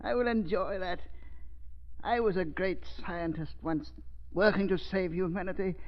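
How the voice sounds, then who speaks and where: An actor playing a bitter, cruel mad scientist in a 1930s radio drama, theatrical, querulous and gloating. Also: male voice, high voice, fairly even intonation, unhurried. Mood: bitter, vengeful. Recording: dull 1930s radio recording.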